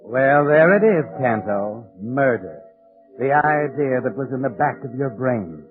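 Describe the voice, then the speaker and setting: An actor playing a sardonic, all-knowing mystery narrator who taunts a murderer in 1940s radio suspense style. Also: male voice, medium pitch, animated, measured pace, narrow, tinny audio, lightly acted. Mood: taunting, ominous. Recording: vintage radio with prominent music behind. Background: dramatic music.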